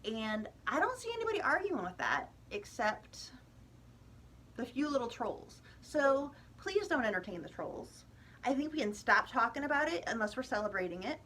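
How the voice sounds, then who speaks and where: A woman's voice: a little high, big pitch swings, moderate pace, a bit bright, a little hiss or hum. An American woman vlogger giving a casual opinion monologue to viewers, chatty and emphatic with exasperated asides.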